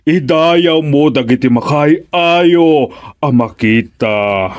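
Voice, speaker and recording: A man's voice: on the high side, slow pace. A man with a Filipino accent acting a jovial, teasing old friend with a playful drawl in a radio comedy.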